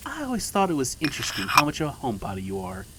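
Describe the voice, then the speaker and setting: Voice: medium pitch, huge pitch swings, racing, heavy background noise. An actor in a fiction podcast playing a taunting, playfully sinister best friend, teasing and mock-wounded in a theatrical way.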